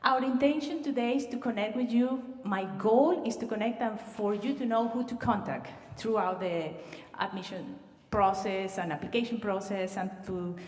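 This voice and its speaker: A woman's voice: medium pitch, normal speed. Venezuelan woman presenting in a reverberant hall. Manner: warm welcoming, slight non-native phrasing with fillers. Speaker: admissions director. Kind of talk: livestreamed presentation to students. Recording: roomy reverb, voice far from mic.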